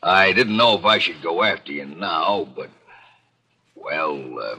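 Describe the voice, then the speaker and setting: An actor playing a big, tough, businesslike contractor with a blunt, insistent manner in a 1940s radio drama. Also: male voice, deep voice, animated, measured pace, pushed and bright, slightly rough, full character voice. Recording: vintage radio broadcast.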